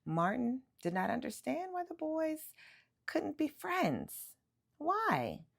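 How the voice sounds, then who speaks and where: A woman reading a children's picture book aloud in an animated, emphatic storytelling way, drawing out words for effect. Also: sits high, slow, vocal fry, slightly rough.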